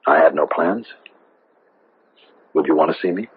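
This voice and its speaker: An actor playing a secretive would-be lover who is easily made uneasy, speaking in an earnest, theatrical radio mystery manner. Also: male voice, very deep voice, moderate pace. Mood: smitten, earnest. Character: smooth would-be lover, secretive man turning uneasy when cornered. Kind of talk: 1970s radio mystery drama acting. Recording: noisy, tinny band-limited old radio broadcast.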